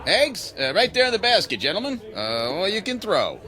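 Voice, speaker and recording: An actor playing an eager, obliging bartender with a chirpy, servile manner in a radio drama. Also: male voice, high-pitched, huge pitch swings, moderate pace, sharp tone, full character voice.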